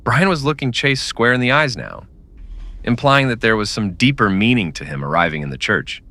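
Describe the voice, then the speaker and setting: A man narrating a scripted western audio drama as a dramatic, measured storyteller. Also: medium pitch, animated, bright, forceful tone, dry recording.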